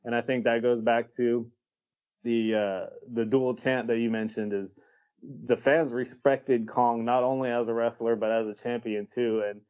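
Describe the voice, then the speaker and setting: A man co-hosting a podcast, giving his take in a relaxed, blunt way with casual swearing. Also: mid-range voice, a little slow, clear, resonant tone, muffled recording. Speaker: podcast co-host.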